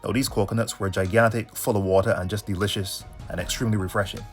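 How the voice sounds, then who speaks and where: Low-pitched Bajan man over music. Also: fairly even intonation, quick pace. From Barbados. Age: 30s. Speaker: travel vlog host. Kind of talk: casual travel narration and interview answers.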